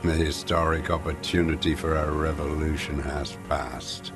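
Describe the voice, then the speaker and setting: An actor playing a bitter, weary old communist deserter and former commissar in a video game, speaking in terse, brooding phrases with a smoker's rasp. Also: male voice, very deep voice, level tone, moderate pace. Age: older. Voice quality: gravelly, coughing smoker's voice. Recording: music under the voice.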